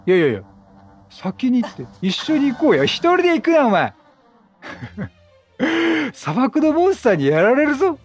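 A man doing excitable, chatty game commentary, reacting aloud and bantering with the characters. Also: extremely high pitch, normal speed, husky, soft background music. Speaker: gaming streamer, let's play host.

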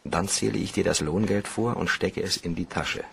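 A determined, bitter cashier in a crime radio play. His voice is low and very husky, with a gravelly edge. He talks briskly and likes to command. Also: heavily acted. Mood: businesslike, urgent. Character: embittered, determined bank cashier plotting a theft.

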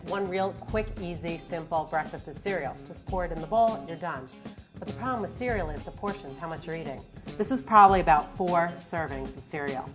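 A young woman nutrition specialist explaining diet tips clearly in a studio kitchen. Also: on the low side, brisk, lo-fi sound, music in the background. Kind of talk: instructional tips.

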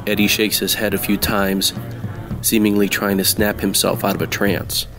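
A man with a low voice narrates a noir audio drama. He tells it in the manner of old-time radio mysteries, with music clearly playing behind him. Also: level tone, moderate pace.

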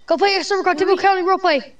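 A woman with an extremely high-pitched voice plays a roleplay game. She swears freely and taunts the other players with brash trash talk, improvising as she goes. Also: steady tone, rapid-fire.

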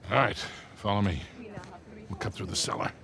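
A man's voice: fairly low pitch, a little fast, creaky, very noisy. A man playing a roguish older American crook in a video game, with a dry, laid-back, folksy manner. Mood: businesslike, wary. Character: wry, roguish older male cigar-smoking crook. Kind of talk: cinematic video game acting.

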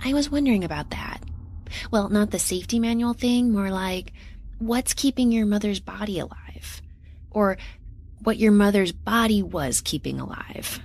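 A woman's voice: medium pitch, expressive, moderate pace, a little mellow. An actress playing an earnest, literal-minded young woman researcher in a sci-fi audio drama, speaking conversationally and a bit hesitantly. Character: earnest, awkward young woman researcher defecting from corporate ship. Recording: clear ambient noise behind.

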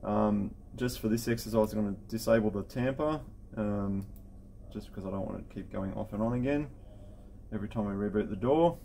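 Male tech instructor, middle-aged, dark relaxed tone, casual and practical step-by-step delivery, light background noise. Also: lowish voice, fairly quick, creaky. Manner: informal, matter-of-fact instructional talk. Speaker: intercom installer giving a software setup tutorial. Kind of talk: casual step-by-step screen tutorial. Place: indoor office at a desk with computer.

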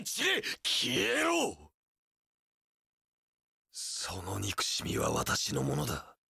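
Raspy, seething young samurai warlord. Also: male voice, high voice, bright, forceful tone, no echo, studio quality, full character voice. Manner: seething, theatrical, bursting into screams. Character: vengeful, fanatically loyal young samurai warlord. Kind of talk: anime-style action game voice acting.

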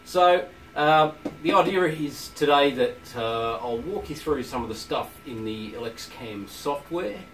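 A middle-aged man with a blocked-up flu voice, casually walking through engraving software with lots of ums and uhs. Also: medium pitch, slight background noise, rough recording. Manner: relaxed, hesitant, many ums and uhs. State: congested from flu. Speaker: channel host demonstrating laser engraving software. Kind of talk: casual tutorial walkthrough. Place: workshop office, indoors.

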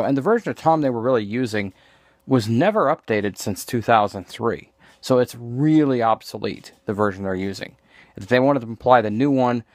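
Halting, opinionated man explaining casually. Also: medium pitch, no echo. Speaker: vlogger demonstrating screen reader voices. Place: small room indoors. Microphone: handheld phone, later lying on desk.

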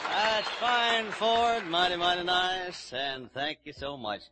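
A man's voice: sits high, slow, a loud crowd, heavy background noise. A man hosting a live 1940s radio show with folksy, hearty introductions, heard on an old broadcast recording.

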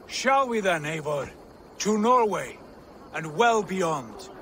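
An actor playing a proud, fervent Viking prince in a video game, obsessed with glory and speaking in formal, grandiose oratory. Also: male voice, sits high, very animated, slow, sharp tone, slight background noise, exaggerated voice. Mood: eager, commanding. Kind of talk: dramatic video game acting.

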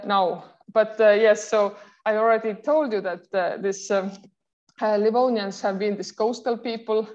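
A woman's voice: mid-range voice, darkish tone. Woman, Estonian accent, university lecturer, hesitant delivery, muffled video call audio. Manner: hesitant, many filler sounds and restarts. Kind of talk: online lecture.

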